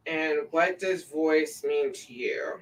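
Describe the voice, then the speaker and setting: A woman co-hosting a casual live stream, relaxed and loose with plenty of fillers, reading out viewer comments. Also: takes it slowly, recorded from a distance, rough recording. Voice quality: says her own voice sounds deep to herself.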